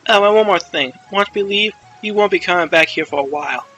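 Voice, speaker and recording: A man's voice: high-pitched, fairly quick, pushed and bright, lo-fi sound, soft background music. A man voicing a brash, scornful young pirate girl captain from a video game, bossy and dismissive.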